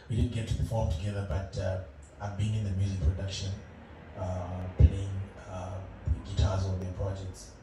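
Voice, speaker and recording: Brief: young man from Zimbabwe, low voice, relaxed musician guest, far from the mic in a very echoey noisy room. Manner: relaxed, hesitant, many fillers and laughs. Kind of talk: casual interview chat. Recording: roomy, distant, noisy room.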